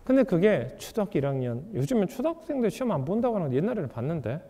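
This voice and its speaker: A man giving an author's lecture to an audience, putting rhetorical questions to the room in a lively way. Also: high-pitched, very fast. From South Korea. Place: lecture hall. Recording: reverberant lecture hall.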